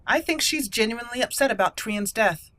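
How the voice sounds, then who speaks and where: A woman hosting a let's play, narrating the game as a dramatic, deliberate storyteller. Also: fairly high pitch, expressive, fairly bright tone.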